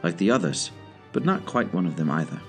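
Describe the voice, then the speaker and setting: An actor playing a pompous, commanding director in an audio drama, with a formal, haughty and clipped way of speaking. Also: male voice, low-pitched, sing-song, a little fast, soft background music. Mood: dry, measured. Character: pompous, commanding male director, clever and dryly imperious. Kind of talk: scripted audio drama acting.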